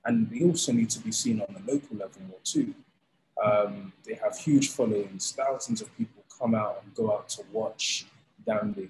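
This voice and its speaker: A man, telling his story in a relaxed, animated way on a video call interview. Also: fairly low pitch, slight background noise, rough recording. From England. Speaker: founder of a fighting league, guest. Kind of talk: casual interview. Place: indoors, plain room. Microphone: studio mic on arm, video call.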